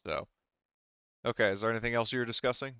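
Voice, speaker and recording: A man's voice: medium pitch, fairly even intonation, fast talker, fairly bright tone, clear, resonant tone, no room sound. A man acting as game master talks players through details in a patient, step-by-step way. His voice is clean and resonant. The recording is close and dry, with a slightly dull top end. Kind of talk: explaining a tabletop game to players.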